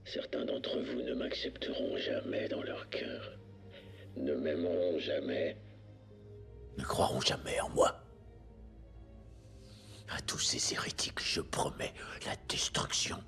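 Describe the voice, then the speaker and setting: An actor playing a menacing ruler, delivering a solemn, threatening monologue in a dramatic production. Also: male voice, extremely low pitch, takes it slowly, pauses often, a bit bright, soft background music, exaggerated voice. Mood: cold menace. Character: menacing self-proclaimed ruler vowing to destroy heretics.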